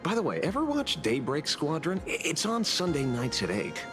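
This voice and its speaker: A man's voice: on the high side, huge pitch swings, moderate pace. A man playing a sly, teasing veteran investigator in a video game, with a casual, wry and theatrical delivery. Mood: playful. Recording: music behind the voice.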